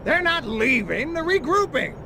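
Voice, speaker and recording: A man playing a blustering, defiant, paranoid army general in a video game, forceful and indignant. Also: very high voice, sing-song, normal speed, gravelly, a little hiss or hum, exaggerated voice. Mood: defensive, indignant. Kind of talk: video game cutscene acting.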